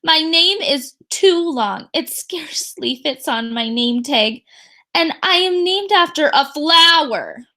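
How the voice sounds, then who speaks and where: A woman voicing a sensitive, earnest little girl in a storybook, with drawn-out, emphatic words. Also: high-pitched, lively intonation, bright, forceful tone, pitched above the performer's own voice, heavily acted. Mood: miserable.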